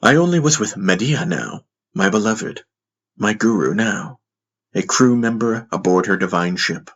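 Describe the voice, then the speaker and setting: A man reading dramatic scripted audiobook narration in a solemn, measured manner. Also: fairly low pitch, expressive, fairly bright tone. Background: music under the voice.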